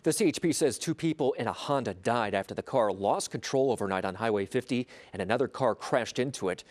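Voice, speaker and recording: A man's voice: mid-range voice, animated, fairly quick. A man anchoring a local evening newscast, reading scripted stories and handing off to reporters. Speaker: news anchor.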